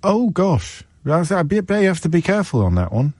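A man's voice: high-pitched, big pitch swings, brisk, darkish tone, no echo, clean studio sound. A man hosting a radio phone-in, chatty and jokey, often restarting and trailing off. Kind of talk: casual radio phone-in chat. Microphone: studio mic.

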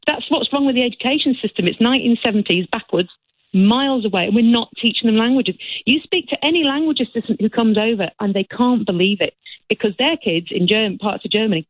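A woman's voice: a little high, quick pace, husky, no room sound. A woman caller speaking in an emphatic, passionate way. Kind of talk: phone-in call. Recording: tinny phone line.

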